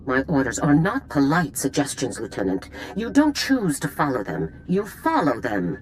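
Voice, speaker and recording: Casting: cold, authoritative general in a sci-fi game; lively pitch. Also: female voice, moderate pace, slight background noise, exaggerated voice. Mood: scolding. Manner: clipped, authoritative, unbending. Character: stern female general, cold and commanding. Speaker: army general. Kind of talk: video game cutscene acting.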